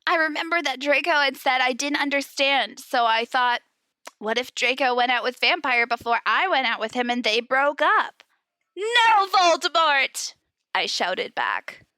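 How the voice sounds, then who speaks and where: A woman podcast host with an expressive, giggly way of talking, switching between comedic dramatic reading and casual chat. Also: high voice, measured pace, dry recording, studio quality.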